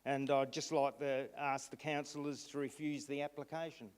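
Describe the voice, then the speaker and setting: A man addressing a council meeting, recounting events haltingly with restarts. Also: mid-range voice, flat delivery, clean tone. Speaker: resident speaking at council meeting. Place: council chamber. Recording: long reverb in a hall.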